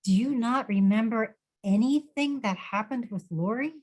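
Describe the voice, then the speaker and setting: A woman's voice: a little high, moderate pace, darkish tone, lightly acted. A woman playing a worried, exasperated friend in a drama table read, speaking urgently. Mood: anxious. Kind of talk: screenplay table read acting.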